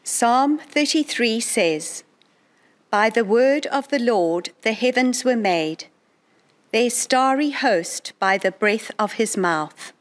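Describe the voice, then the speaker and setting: A middle-aged woman reading scripture and prayers aloud in a measured, solemn way. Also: a little high, expressive. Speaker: prayer leader in church service. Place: indoors in a chapel, at a lectern. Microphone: gooseneck lectern microphone.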